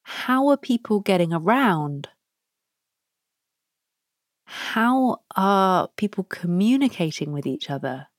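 A woman hosting a podcast, leading listeners through a guided meditation with soft prompts and long pauses. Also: mid-range voice, animated, no echo, clean studio sound. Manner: slow, soft, pausing between prompts. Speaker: podcast host guiding a practice.

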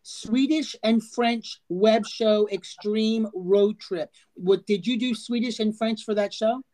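A man's voice: sits high, slow pace, a little mellow, low-quality recording. A man co-hosting a casual chat in a playful way, laughing easily. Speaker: host.

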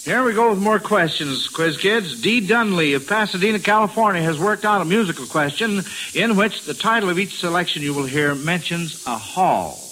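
A man's voice: high voice, normal speed, few pauses. A man hosting a 1940s radio quiz show, repeating and echoing answers approvingly. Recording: old radio recording with steady hiss.

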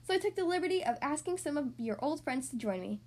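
Plucky little mouse hero for a storybook, played by a woman: high, courteous, small-voiced. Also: expressive, fast, darkish tone. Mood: cheeky, confident.

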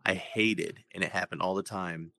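Deep-voiced joking young man. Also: steady tone, normal speed, creaky, pushed and bright, no room sound. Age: 20s. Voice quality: deep voice he calls his radio voice. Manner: joking, laughs often between phrases. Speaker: podcast host. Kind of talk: casual chat.

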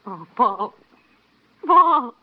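An actress playing a frightened, emotional wife under suspicion in an old-time radio melodrama, pleading dramatically. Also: female voice, fairly high pitch, sing-song, extremely slow, heavily acted. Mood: frantic, pleading. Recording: dull vintage radio recording.

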